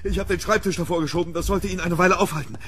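A man's voice: sits high, fairly even intonation, rapid-fire, a bit bright, slight background noise. An actor playing a panicked, desperate English nobleman in a horror radio drama, with urgent, stammering repetitions.

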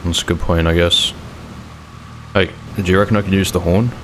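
A man playing a cautious, unsure zombie apocalypse survivor in an improvised game roleplay, speaking in a hesitant, low-key way. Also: fairly even intonation, fairly quick, a bit bright, slight background noise.

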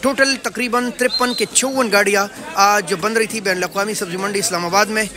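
A man's voice: high-pitched, steady tone, a little fast, crowd noise. A man in his thirties with a Pakistani accent, presenting an informational market report in an earnest, projected way. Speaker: host of market rate updates.

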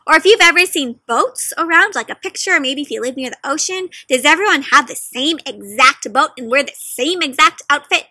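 A young adult woman hosting an interactive picture book read-aloud, questioning young children directly in an animated, teacherly way. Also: sits high, brisk, few pauses, no room sound. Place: outdoors in a leafy garden.

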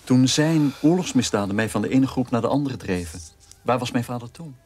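An actor playing the bitter, idealistic son of a war criminal, speaking in an earnest, accusatory way in a radio play. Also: male voice, medium pitch, lively intonation, a little fast, darkish tone, close to an everyday voice. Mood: bitter, defiant.

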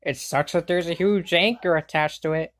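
A woman voicing an excitable, wisecracking girl in an amateur anime comedy fandub, full of exclamations. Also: fairly low pitch, normal speed, lightly acted. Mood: excited. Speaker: fan dub voice actor. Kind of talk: amateur cartoon fandub line readings.

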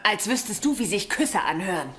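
Earnest nerdy young woman, acted, lively intonation. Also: medium pitch, brisk, a loud crowd, heavy background noise, lightly acted. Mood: reproachful. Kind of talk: dubbed sitcom dialogue.

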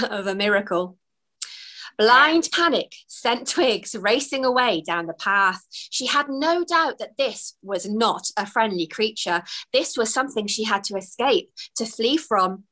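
Bright, expressive storytelling woman. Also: a little high, rough recording. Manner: expressive, with gasps and small laughs. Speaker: children's book author reading aloud. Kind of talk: storybook narration. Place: home room with bookshelves. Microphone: webcam on a video call.

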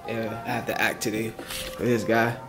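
A man's voice: on the low side, flat delivery, normal speed, music in the background. A young man vlogging at a house with friends, casual and joking, feeling a bit stuffy. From Maryland. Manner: laughing, joking banter. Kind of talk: casual vlog chat. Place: house interior.

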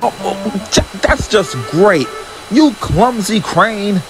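A man voicing a proud, boastful young male steam engine who is vain and quick to take offense, over music. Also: on the high side, close to an everyday voice. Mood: indignant. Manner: vain, huffy, excitable.

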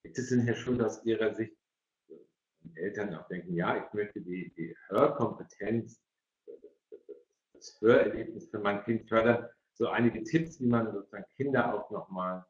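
A man's voice: fairly low pitch, normal speed. A middle-aged man moderating questions in an online discussion over a video call. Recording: dull, echoey video call audio.

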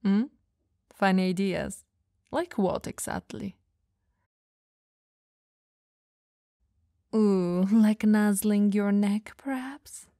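Woman playing elegant female vampire, dominant, flirtatious, caring, soft breathy ASMR delivery, unhurried pace, close dry sound. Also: medium pitch, fairly even intonation, no echo. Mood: playful, flirtatious. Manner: soft, breathy, slow teasing delivery, often dropping to whispers. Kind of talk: ASMR comfort roleplay.

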